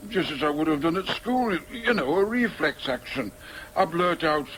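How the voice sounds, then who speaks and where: A man's voice: fairly high pitch, expressive, moderate pace, fairly bright tone, a little hiss or hum, poor audio. An actor in a radio drama playing an older working-class Yorkshireman, chatty and matter-of-fact as he reminisces in broad colloquial speech. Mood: animated reminiscing.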